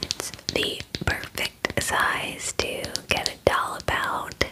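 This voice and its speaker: A young woman in her twenties speaks in a soft, breathy whisper, very close to the microphone. She takes her time, drawing out her phrases in a gentle, chatty way, as in an ASMR pampering roleplay. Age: 20s. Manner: slow, gentle, chatty and unhurried. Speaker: ASMR performer playing a werewolf spa groomer. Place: small indoor room.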